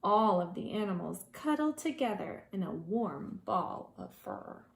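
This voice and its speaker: A woman preschool teacher reading a children's story aloud in a dramatic way, with hushed asides to young listeners. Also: mid-range voice, sing-song, measured pace, creaky, roomy sound.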